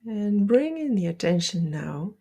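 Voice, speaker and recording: A woman leading a guided meditation, giving gentle, deliberate instructions with soft, breathy phrasing. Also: medium pitch, sing-song, highs cut off.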